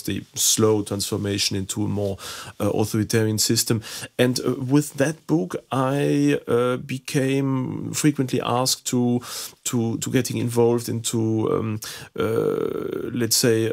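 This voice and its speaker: Gravelly-voiced man, halting speech. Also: fairly low pitch, a little mellow. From German-speaking east Belgium. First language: German and French. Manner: halting, with many repeated words. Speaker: guest historian. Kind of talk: interview conversation. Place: studio.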